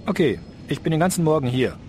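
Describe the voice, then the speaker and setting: An actor playing a friendly, businesslike police investigator in an audio drama. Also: male voice, fairly high pitch, lively intonation, fast talker, a little mellow, slight background noise, close to an everyday voice.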